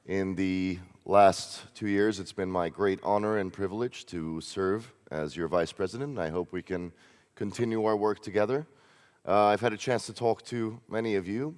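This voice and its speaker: Man from Hungary, lowish smooth voice, earnest speech with many hesitations, reverberant hall. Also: darkish tone. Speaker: candidate giving a speech. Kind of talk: campaign speech. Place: large conference hall.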